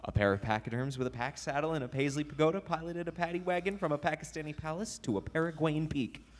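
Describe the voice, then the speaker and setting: A man's voice: on the high side, quick pace, close to an everyday voice. A man playing a hapless, earnest apprentice ghost hunter in a live comedy sketch, sounding eager and sheepish. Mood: eager reciting. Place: recreation center hall, live stage performance.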